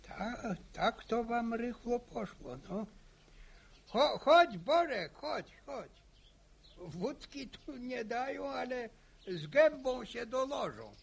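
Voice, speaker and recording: An actor playing a talkative, teasing old peasant with a creaky voice, halting and repeating his words in a radio drama. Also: very high-pitched, expressive, full character voice. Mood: bossy, needling. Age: older. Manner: halting, stammering repetitions, slow. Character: talkative old village peasant man, folksy, teasing, bossy.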